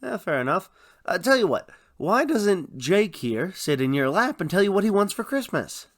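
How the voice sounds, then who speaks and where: A man's voice: sits high, big pitch swings, moderate pace, no room sound, clean studio sound. A man co-hosting a radio show, delivering comic scripted banter.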